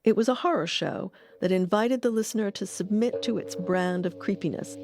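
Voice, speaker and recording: A woman giving measured, articulate scripted narration as a documentary narrator. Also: medium pitch, background noise.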